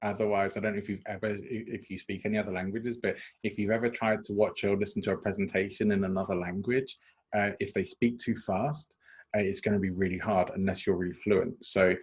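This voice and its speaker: Husky-voiced English man on a lo-fi call. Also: fairly low pitch, steady tone, a little fast. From England. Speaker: guest, presentation coach. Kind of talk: conversational interview answers. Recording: dull lo-fi call audio.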